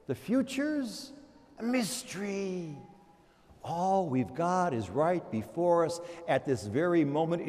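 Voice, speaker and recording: A man's voice: high-pitched, a little mellow. An older American man, a priest, delivering a homily with storytelling, emphatic repetition and rhetorical questions in a church. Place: church, preaching to a congregation. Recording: reverberant church hall.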